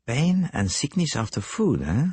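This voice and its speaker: Narrator playing a polite sleuth, formal and probing, husky, lively delivery. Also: male voice, mid-range voice, a little slow, dry recording, voice pushed up. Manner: formal, businesslike, probing questions. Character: courteous, precise foreign male detective, middle-aged.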